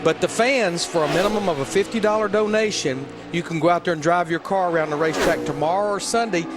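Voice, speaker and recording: A man's voice: high voice, fast talker. A man giving conversational, folksy color commentary on a stock car qualifying session. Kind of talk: live race commentary.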